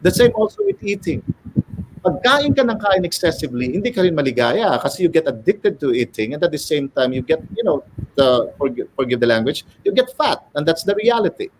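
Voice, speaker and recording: A middle-aged man with a Filipino accent talks like a teacher giving a lecture. He explains each point in a measured way and moves between English and Filipino. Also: on the high side, wildly swooping pitch, noisy background. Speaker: educator guest. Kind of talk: interview answers, explanatory.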